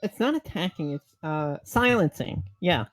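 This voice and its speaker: A man's voice: a little high, animated, moderate pace, no room sound, faint music behind. A man bantering casually as a player in a tabletop roleplaying game, playful and jokingly argumentative.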